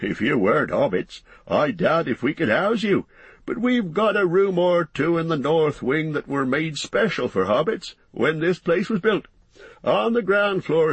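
A man's voice: on the high side, sing-song, measured pace, husky, dry recording, voice pushed up, heavily acted. A man voicing a fat, harried, talkative innkeeper in an audiobook, chattering breathlessly in rustic, folksy phrases. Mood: flustered, chatty. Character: fat, bustling, harried, talkative middle-aged male innkeeper. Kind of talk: audiobook character voice.

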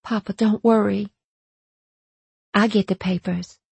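A woman's voice: medium pitch, measured pace, a little mellow, slightly rough, dry recording. A woman voicing a worn-down but determined young woman in an audiobook, speaking in dialect with urgency. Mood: soft pleading. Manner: urgent, dialect speech with simple present tense. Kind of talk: audiobook character voice.

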